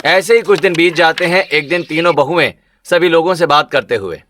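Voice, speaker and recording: A man's voice: on the high side, moderate pace, fairly bright tone, a little hiss or hum. A man reading scripted narration for a story, as the narrator.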